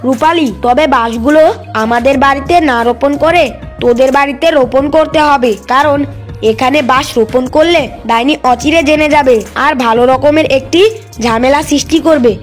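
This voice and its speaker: Woman as a high-voiced, sceptical young cartoon critter. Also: moderate pace, soft background music, lightly acted. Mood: cautious bargaining. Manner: cautioning, bargaining. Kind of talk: cartoon fairy-tale voice acting.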